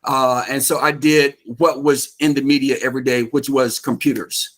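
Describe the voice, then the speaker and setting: A man's voice: medium pitch, level tone, normal speed, fairly bright tone. An American man in his fifties chatting and telling stories as an interview guest, in an enthusiastic, affable manner. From Georgia, United States. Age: 50s. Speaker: interview guest, real estate investor. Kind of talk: casual conversational storytelling.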